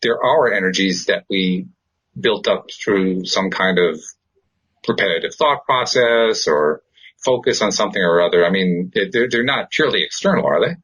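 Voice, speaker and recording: A man's voice: sits low, expressive, normal speed, fairly bright tone, slightly rough, lo-fi sound. A man co-hosting a talk show in casual, thoughtful, agreeable conversation.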